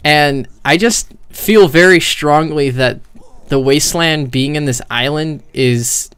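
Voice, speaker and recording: A man doing casual, unscripted game commentary as a streamer, profane and opinionated, on a microphone turned up too high. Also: slow pace, fairly bright tone, clear, resonant tone. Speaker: streamer critiquing a video game. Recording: overdriven, too-hot microphone.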